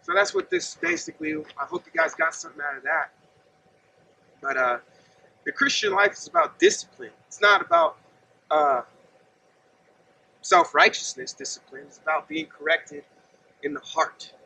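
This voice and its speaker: Man preaching outdoors, very high gravelly voice, bright edge, lively pitch, distant mic, faint outdoor hum. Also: moderate pace, pauses often. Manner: earnest, conversational preaching with gestures. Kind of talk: outdoor sermon to camera. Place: outdoors by a gravel lot and fence. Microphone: camera at a distance.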